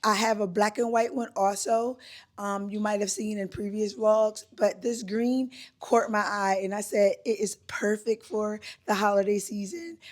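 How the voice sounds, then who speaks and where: Hoarse-voiced woman chatting casually. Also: medium pitch, a little slow. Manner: chatty, upbeat, says "like" and "um" often. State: hoarse, recovering from a cold. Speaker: lifestyle vlogger. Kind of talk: casual chat to camera. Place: at home, indoors.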